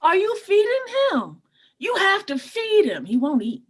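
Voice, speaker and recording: A woman's voice: very high-pitched, wildly swooping pitch, a little slow, exaggerated voice. A woman playing a bossy, doting aunt in a comedy table read, scolding and insistent. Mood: nagging, indignant. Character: bossy, nagging Caribbean aunt, doting on her nephew.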